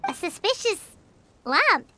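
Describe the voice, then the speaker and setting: A woman voicing a tiny, childlike, greedy and excitable floating fairy companion in a video game, squeaky and given to exclaiming. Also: takes it slowly, heavily acted. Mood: puzzled, eager. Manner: exclaiming, refers to self in third person. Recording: loud music behind.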